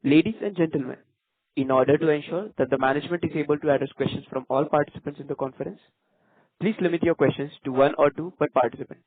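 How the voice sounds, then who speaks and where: A man's voice: on the high side, fast talker, husky. Male call moderator on tinny line. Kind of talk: scripted formal announcements. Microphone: conference call line. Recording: narrow tinny phone line.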